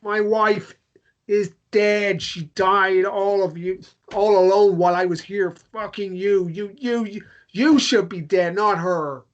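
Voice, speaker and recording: A man's voice: high voice, fairly even intonation, slow pace. Muffled-sounding table read: male actor as grieving widower, bitter, volatile, stumbling over repeated phrases. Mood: furious, accusing. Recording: dull lo-fi sound.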